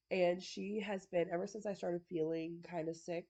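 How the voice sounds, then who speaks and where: A woman's voice: fairly low pitch, fairly even intonation, a little slow, darkish tone. A woman with a congested, stuffy nose chatting casually to the camera at home, rambling with lots of fillers and frequent little laughs. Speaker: vlogger. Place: at home indoors.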